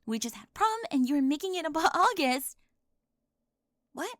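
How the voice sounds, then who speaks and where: A woman playing a talkative, bossy high school girl who gets easily flustered, in an ASMR roleplay with halting speech and breathy drops toward a whisper. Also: big pitch swings, a bit bright, dry recording, studio quality. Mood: exasperated, animated.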